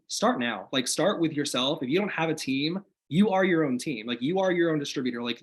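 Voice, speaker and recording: A man sharing business tips on a video call, talking in an energetic, motivational way with lots of "like" fillers, his voice slightly hoarse after being sick. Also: mid-range voice. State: slightly hoarse, recovering from illness. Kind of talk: casual video call chat. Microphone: video call microphone.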